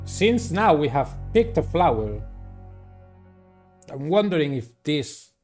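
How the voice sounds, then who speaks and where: A man doing a playthrough of a visual novel, reading it aloud and commenting in a deliberate, halting way. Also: on the high side, big pitch swings, darkish tone, soft background music. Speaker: gamer playing and narrating a visual novel. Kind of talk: dramatic read-aloud with casual playthrough commentary.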